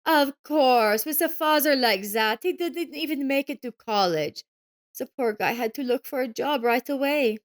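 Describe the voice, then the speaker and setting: A woman's voice: high-pitched, moderate pace, pitched above the performer's own voice, close to an everyday voice. A woman voicing a sneering, condescending middle-aged ex-wife with a mocking, haughty manner in an audio story.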